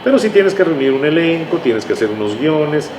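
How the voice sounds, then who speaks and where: An older man with a Mexican accent, reminiscing conversationally as an interview guest, explaining things in a halting way and checking for agreement. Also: on the high side, sing-song. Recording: echoey room with clear background noise.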